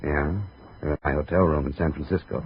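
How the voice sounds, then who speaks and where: An actor playing a wry, hard-boiled American investigator, clipped and world-weary, in 1950s radio noir style. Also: male voice, extremely low pitch, fairly even intonation, fairly quick, slight background noise. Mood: cool, probing. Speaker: lead actor and narrator of a radio detective series. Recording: dull vintage radio recording with music.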